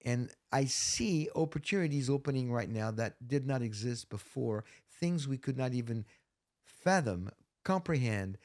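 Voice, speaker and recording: Male panelist, reflective and measured, lots of "uh"s and pauses, middle pitch. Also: lively intonation, darkish tone. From France. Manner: reflective, measured, many fillers. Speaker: ministry teacher, panelist. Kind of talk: casual panel discussion.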